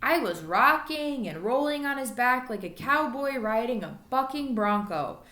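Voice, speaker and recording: Female teacher reading a kids' chapter book. Expressive, higher-pitched, recorded in an echoey room. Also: unhurried. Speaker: elementary school teacher reading aloud. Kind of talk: storybook read-aloud narration.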